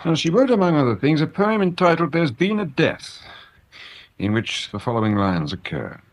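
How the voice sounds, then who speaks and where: An actor playing a courteous, shrewd, dry-witted police detective in a radio drama, speaking formally with measured understatement. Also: male voice, fairly high pitch, very animated. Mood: intent, measured.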